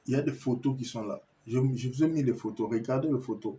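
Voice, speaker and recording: A man's voice: medium pitch, fast, warm tone. A man with a Congolese French accent giving an impassioned, insistent monologue to viewers, repeating himself and stammering as he searches for words. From Kinshasa, Congo. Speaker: commentator addressing viewers.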